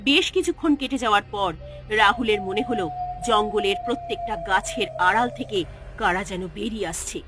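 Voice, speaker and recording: A woman narrating a scripted horror story in a dramatic, expressive storytelling style. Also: mid-range voice, moderate pace. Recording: music under the voice.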